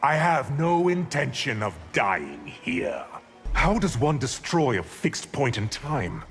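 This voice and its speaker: An actor playing an arrogant, scheming, cold sorcerer villain in a video game, with a haughty, theatrical, menacing delivery. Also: male voice, mid-range voice, very animated, takes it slowly, fairly bright tone, long reverb tail, soft background music, full character voice. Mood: contemptuous.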